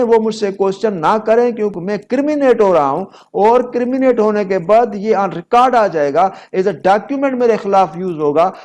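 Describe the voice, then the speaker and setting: A man's voice: sits high, a little mellow, highs cut off. A man with a Pakistani accent lecturing on law, explaining didactically and switching between two languages as he acts out examples. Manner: didactic, acting out courtroom exchanges as examples. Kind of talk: explanatory law lecture mixing Urdu and English.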